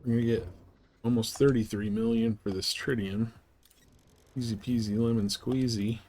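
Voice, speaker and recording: Guy streaming a game, regular pitch voice, just chatting casually. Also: fairly even intonation, normal speed, darkish tone. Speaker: live streamer playing a space trading game. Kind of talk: casual gameplay chat.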